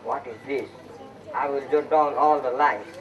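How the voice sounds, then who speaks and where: A man's voice: mid-range voice, a little slow, close to an everyday voice. A young man playing a proud, petulant suitor in an amateur stage play. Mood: suspicious. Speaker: student actor. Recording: muffled, distant mic, noisy room.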